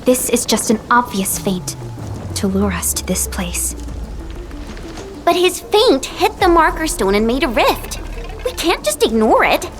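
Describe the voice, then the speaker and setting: A high, lively young investigator. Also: female voice, a little slow. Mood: thoughtful. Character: bubbly, quick-witted young woman investigator, talkative and expressive. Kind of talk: animated video game voice acting. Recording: loud music behind the dialogue.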